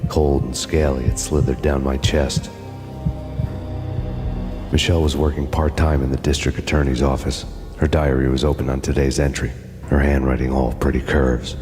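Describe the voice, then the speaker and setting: An actor narrating as a brooding ex-cop in dry, bitter hard-boiled noir style. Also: male voice, very deep voice, level tone, moderate pace. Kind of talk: scripted noir voice-over. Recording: with loud game music.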